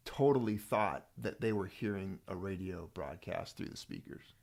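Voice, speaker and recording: A man's voice: low voice, expressive, moderate pace, gravelly, darkish tone. Chatty middle-aged man, rambling jokes. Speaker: channel host. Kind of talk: casual chat. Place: home.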